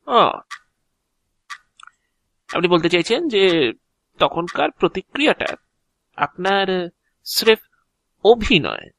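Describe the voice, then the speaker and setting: Agent of Death for audio drama, male actor: calm, polite, sardonic, slow deliberate delivery, very dry sound. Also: high-pitched, gravelly, dry recording, full character voice. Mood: probing. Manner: measured, deliberate, dryly ironic. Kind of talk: Bengali audio drama dialogue acting.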